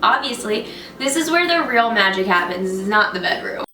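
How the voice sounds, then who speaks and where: A woman's voice: mid-range voice, lively intonation, a little fast, pushed and bright, slight background noise. A young woman with a stuffed-up, nasal voice, joking her way through a casual kitchen tutorial. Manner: bubbly and jokey. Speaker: vlogger. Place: home kitchen, loud stove. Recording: roomy, mic at a distance, music behind.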